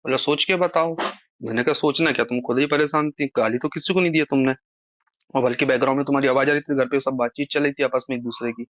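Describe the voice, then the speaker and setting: Man, medium-pitched voice, casual phone talk, wordy and appealing, muffled recording. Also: fairly even intonation, fast, fairly bright tone, no echo. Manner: talks at length, explaining and appealing to the listener. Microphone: phone call.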